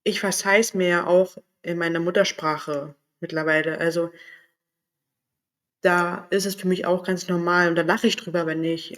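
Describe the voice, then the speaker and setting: A man talking candidly in a personal vlog, thinking aloud and searching for words as he goes. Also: high-pitched. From Berlin area, Germany. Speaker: vlogger. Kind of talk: personal reflective monologue.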